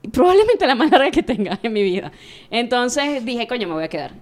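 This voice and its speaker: A Venezuelan woman in her late thirties, a sports journalist telling stories candidly in a relaxed interview. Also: on the high side. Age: 30s. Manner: chatty and candid, rapid storytelling. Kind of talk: casual interview chat.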